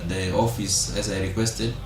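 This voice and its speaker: A man's voice: lowish voice, steady tone, moderate pace, over music. A young man from South Sudan answering interview questions as a college student leader, hesitant with many fillers. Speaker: interview guest, student council president. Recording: echoey room, mic far off, clear noise.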